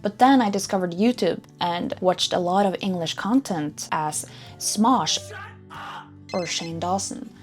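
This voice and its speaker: A woman's voice: fairly low pitch, a little slow, faint music behind. Upbeat Norwegian-accented young woman. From Norway. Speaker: vlogger. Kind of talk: scripted personal narration.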